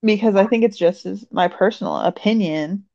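A woman with a raspy voice, chatting casually as a podcast co-host. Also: medium pitch, normal speed, mellow, no echo, dull audio. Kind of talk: casual chat.

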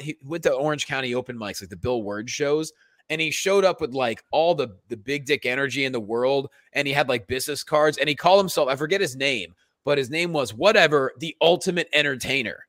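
A man chatting casually and comedically as a podcast co-host, swearing freely as he tells animated stories. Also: medium pitch, dry recording, studio quality. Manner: profane, animated storyteller. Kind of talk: casual comedic chat, storytelling.

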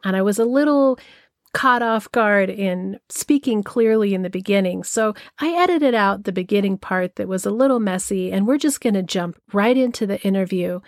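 Woman podcast host gushing about her guest, mid-pitch, dry close mic. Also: expressive, normal speed, no echo. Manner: admiring, gushing. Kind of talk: interview introduction.

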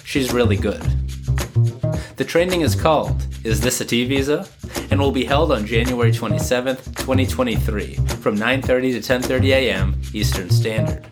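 A man with a cold and a slightly nasal voice, hosting a scripted immigration law podcast and reading the sponsor ads himself, brisk with informal asides. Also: mid-range voice, over music. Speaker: podcast host and immigration lawyer. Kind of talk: scripted legal podcast narration with ad reads.

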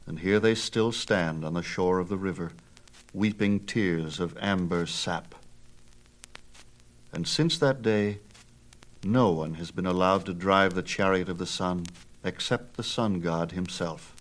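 A man narrating a myth as an audiobook storyteller, with a theatrical, measured and emphatic delivery. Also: sits low, rough recording.

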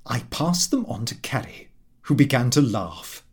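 A man's voice: mid-range voice, expressive, a little slow, darkish tone, husky. A man narrating a comic first-person audiobook in a prim, precise, slightly aggrieved manner.

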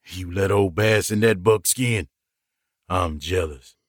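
A man's voice: slow pace, no room sound, clean studio sound. A man growling as a crude outlaw gunfighter. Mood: cocky. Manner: gruff, blunt, low growl. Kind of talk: audiobook character voice.

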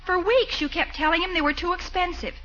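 A woman's voice: very high voice, fairly quick. A woman playing an affectionate, teasing young niece in a 1940s radio comedy. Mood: teasing, fond. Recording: muffled, noisy 1940s radio recording.

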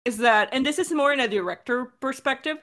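A woman's voice: sits high, expressive, fast, fairly bright tone. A woman speaking informally and animatedly as a podcast director in a casual Q&A, repeating words for emphasis. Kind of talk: casual creator Q&A chat.

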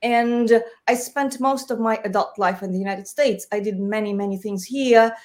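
A woman's voice: mid-range voice, normal speed. A woman from Russia, a guest on a podcast, talking and laughing easily. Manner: warm, talkative, laughs easily. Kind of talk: podcast conversation.

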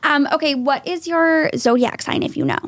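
A woman's voice: high-pitched, normal speed, dry recording. A woman hosting a podcast interview in a studio, chatty and bubbly, laughing often. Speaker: podcast host. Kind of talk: casual interview chat. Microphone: studio microphone.

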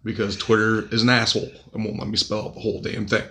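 A man hosting a podcast with crude jokes, his voice raspy from a cold. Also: on the low side, completely flat delivery, quick pace, fairly bright tone. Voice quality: gravelly. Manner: crude, joking, upbeat. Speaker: podcast host. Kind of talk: casual podcast chat.

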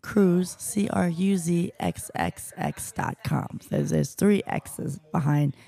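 A woman's voice: on the low side, vocal fry, mellow, soft background music. A woman guest from the United States answers interview questions in an easygoing, giggly way. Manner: easygoing, giggly, speaks slowly when spelling things out. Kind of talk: casual interview chat.

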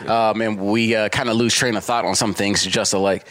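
Easygoing low-voiced man in his thirties. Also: fairly even intonation, normal speed, a bit bright, no room sound. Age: 30s. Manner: easygoing, rambling, trails off mid-thought. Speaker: podcast co-host. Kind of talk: casual podcast conversation.

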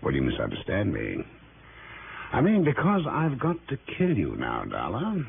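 Mock-courteous radio villain, swooping voice. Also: male voice, fairly low pitch, huge pitch swings, creaky, exaggerated voice. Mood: polite menace. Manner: genteel, drawn-out, over-polite phrasing. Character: smooth, mock-courteous male crook, coolly menacing. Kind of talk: 1960s radio drama villain acting. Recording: tinny, noisy early-1960s radio recording.

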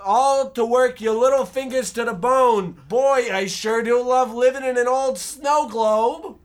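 Radio comedy role: man voicing imaginative young boy, very high-pitched, unhurried, meek and theatrical. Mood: bossy, pleased. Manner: wordy, theatrical. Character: bookish imaginative eleven-year-old boy, meek and wordy. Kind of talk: parody of old-time radio drama.

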